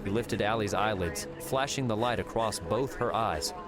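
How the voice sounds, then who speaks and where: Low-voiced man narrating over music. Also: normal speed. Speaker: audiobook narrator. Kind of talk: dramatic scripted narration. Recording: music under the voice.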